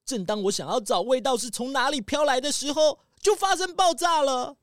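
A woman's voice: sits high, fairly quick, no echo, studio quality, lightly acted. A woman playing an earnest, apologetic male pangolin in a children's radio drama. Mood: regretful.

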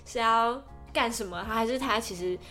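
A woman's voice: on the high side, normal speed, bright, forceful tone, faint music behind. A young woman with a Taiwanese Mandarin accent, co-hosting a podcast and chatting casually through prepared points. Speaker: podcast co-host.

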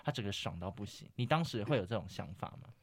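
A man's voice: fairly low pitch, expressive, quick pace, no room sound, studio quality. A man with a Taiwanese Mandarin accent, hosting a casual chat in a playful, teasing manner. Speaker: podcast host.

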